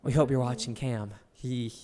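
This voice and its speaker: A young man hosting a casual podcast, playful and upbeat, his voice a bit raspy from throat irritation. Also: medium pitch, fairly even intonation, normal speed, mellow, big-room sound. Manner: playful, upbeat host patter. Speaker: podcast host.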